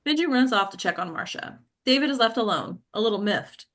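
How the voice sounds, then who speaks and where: A woman's voice: fairly high pitch, lively intonation, fairly quick, fairly bright tone. A woman narrating the stage directions at a script table read, occasionally stumbling over words.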